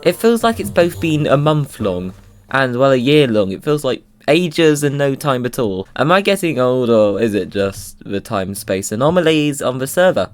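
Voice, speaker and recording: A man's voice: mid-range voice, very animated, normal speed, soft background music. A man with a slight cold, giving playful, bouncy commentary on his Minecraft video. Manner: playful, bouncy, silly sing-song asides. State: slightly under the weather with a cold. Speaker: Minecraft YouTuber. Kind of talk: casual gameplay commentary.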